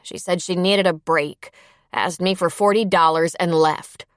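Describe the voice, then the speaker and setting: A woman's voice: on the low side, steady tone, unhurried, no room sound, lightly acted. A woman voicing an irritable older woman in pain, dry, curt and complaining, in an audiobook. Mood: weary, defensive. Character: irritable, pained older woman, weary and dismissive. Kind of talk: audiobook character dialogue.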